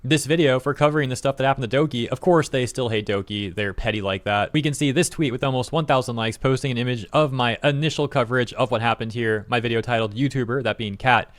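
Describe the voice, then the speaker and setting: A young man talks through online drama for a reaction channel in a blunt, slightly sarcastic tone. His voice sits at an ordinary middle pitch, and the sound is dry and close with little room around it. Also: fast, few pauses, no echo. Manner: blunt, exasperated, mildly sarcastic. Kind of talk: casual commentary, reading comments aloud.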